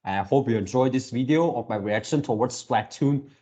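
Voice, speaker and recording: A man hosting a casual fan reaction video, gushing excitably in halting, drawn-out phrases. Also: mid-range voice, slightly rough.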